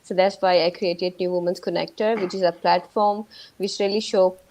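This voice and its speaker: A woman's voice: lowish voice, steady tone, moderate pace, a little mellow, rough recording. A middle-aged woman with a Pakistani accent, a guest on a video-call panel, talking earnestly in long run-on sentences. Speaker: guest, founder of a women's network. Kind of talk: casual online panel talk.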